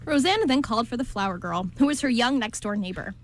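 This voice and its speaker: Woman, narrator, scripted storytelling, radio play, fairly high pitch. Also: lively intonation, quick pace, slight background noise.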